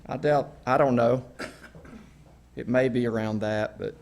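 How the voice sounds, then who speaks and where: A man's voice: mid-range voice, steady tone, measured pace, vocal fry, darkish tone, slight background noise. A man on county staff answering a commissioner's questions at a meeting, cautious and hedging.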